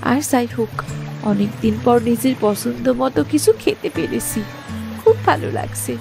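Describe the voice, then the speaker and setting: A woman's voice: on the high side, animated, normal speed, gravelly. A woman voicing a meek, long-suffering young village daughter-in-law in a cartoon, plaintive and hesitant. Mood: curious, hopeful. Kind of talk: cartoon moral story voice acting. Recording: loud background music.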